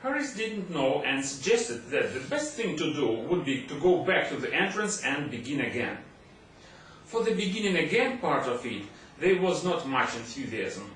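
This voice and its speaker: A man's voice: mid-range voice, a bit bright. A man reading a humorous classic story aloud as scripted audiobook narration. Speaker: audiobook reader. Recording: very echoey room, distant mic, faint noise.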